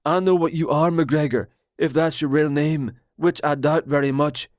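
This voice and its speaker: A male narrator voicing a paranoid, excitable man from a trailer park, loud, fast and indignant. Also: on the high side, no room sound, studio quality, pitched above the performer's own voice, close to an everyday voice. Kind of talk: audiobook character voice.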